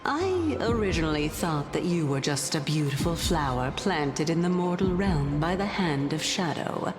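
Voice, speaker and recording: A woman's voice: sits low, animated, moderate pace. A woman playing a sly, haughty female scholar in a fantasy game, with a composed, condescending and teasing manner. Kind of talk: scripted fantasy game voice acting. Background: music.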